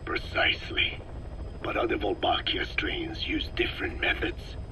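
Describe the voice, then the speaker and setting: An actor playing a cold, older male scientist who lectures slowly in a gravelly whisper, on a game audio tape. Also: background noise, close to an everyday voice. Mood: clinical. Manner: slow, lecturing, measured. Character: cold elderly male parasite scientist, calm and clinical. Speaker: scientist explaining parasites. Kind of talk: game audio log acting.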